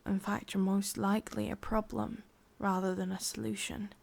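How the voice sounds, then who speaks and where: A woman with an English accent playing a sadistic, possessive witch in a hushed, breathy ASMR roleplay, slow and coaxing. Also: medium pitch, level tone. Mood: cold, possessive.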